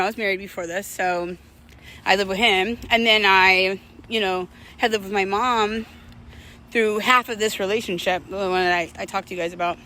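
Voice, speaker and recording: A woman's voice: medium pitch, bright, forceful tone. A woman talking casually and candidly to her viewers in a reflective, personal monologue, using conversational slang. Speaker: vlogger telling personal stories to viewers.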